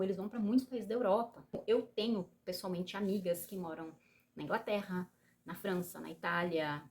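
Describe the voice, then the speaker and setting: Brazilian woman vlogger, Brazilian Portuguese accent, chatty, swinging from excitable to heartfelt. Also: fairly low pitch. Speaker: YouTuber. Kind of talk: casual chat to camera.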